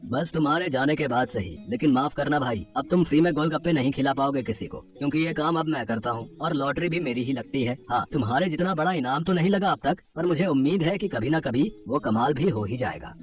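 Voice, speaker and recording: A man's voice: medium pitch, animated, a little fast, few pauses, soft background music, natural voice. Man playing a grumbling greedy male street vendor. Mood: smug. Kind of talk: animated Hindi moral story dialogue.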